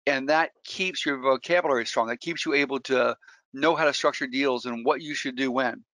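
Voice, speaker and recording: A man's voice: mid-range voice, normal speed, a bit bright, no room sound. A middle-aged man, a real estate investor, giving an earnest webinar talk and telling stories with frequent restarts. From Colombia. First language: Spanish. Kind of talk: webinar presentation.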